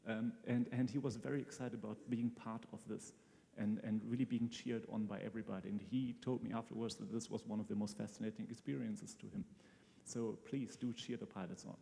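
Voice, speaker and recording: A man speaking as a guest expert, explaining technical things in a measured way in a live interview. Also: on the low side, fairly even intonation, gravelly, warm tone, a little hiss or hum. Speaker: brain-computer interface researcher, guest expert. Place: large hall at a live event. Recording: hall reverb, faint music behind.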